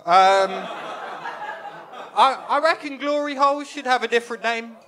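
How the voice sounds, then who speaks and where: A young man from England performing a stand-up set on a small club stage into a handheld mic, with a wry, self-deprecating deadpan delivery. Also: very high voice, moderate pace, background noise. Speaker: stand-up comedian. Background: audience laughter.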